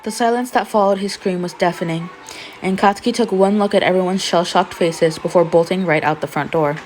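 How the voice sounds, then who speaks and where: A woman's voice: lowish voice, brisk, a little mellow, slight background noise. A young adult woman reading fan fiction as an amateur narrator. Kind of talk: expressive scripted story narration, casual asides.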